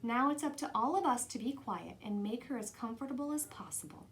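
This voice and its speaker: A woman voicing a caring, gently scolding mother from a children's storybook in an earnest, motherly way. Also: fairly high pitch, animated, fairly quick. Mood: weary, worried.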